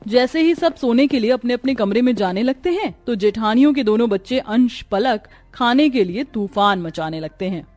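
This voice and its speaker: A woman's voice: fairly high pitch, animated, normal speed, hardly any pauses, a little mellow, no echo, faint music behind. A woman narrator reading a scripted cartoon story.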